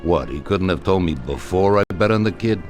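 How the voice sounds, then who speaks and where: An actor playing an older male mob boss, shrewd and commanding, who gives orders in a slow, deliberate way in a video game cutscene. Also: deep voice, expressive, darkish tone. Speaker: crime boss. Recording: clear music bed behind voice. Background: music.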